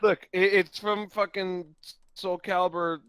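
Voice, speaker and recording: A man's voice: high voice, flat delivery, measured pace, fairly bright tone, clean tone, no echo. A man giving casual joking commentary on a group stream, indignant and sweary.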